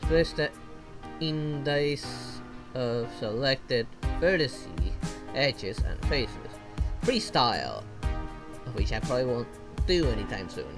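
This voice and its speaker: Non-native English-speaking man, tutorial host, unhurried stop-start read-through, medium pitch, music playing behind. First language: not English. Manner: slow, halting reading with restarts and asides. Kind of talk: reading software documentation aloud.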